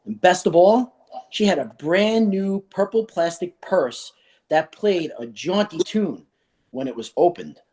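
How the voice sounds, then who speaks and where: A man's voice: high voice, expressive, unhurried, a little mellow. A man reading a children's storybook aloud as a school staff reader.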